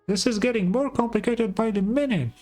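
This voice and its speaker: A man voicing a small, chatty female fairy companion from a game, excitable and a bit suspicious. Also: very high voice, brisk, faint music behind, voice pushed up. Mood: puzzled. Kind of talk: game dialogue read in character.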